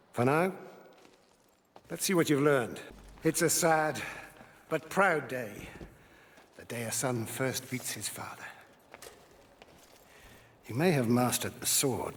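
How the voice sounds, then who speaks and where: An actor playing a stern older Roman general speaking to his son in a measured, grave, fatherly way in a game cutscene. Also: mid-range voice, slight background noise. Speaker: father of a young soldier.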